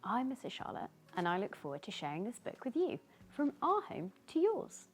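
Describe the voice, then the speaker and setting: A woman reading a children's story aloud as host, with animated, dramatic emphasis. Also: fairly high pitch, normal speed, husky.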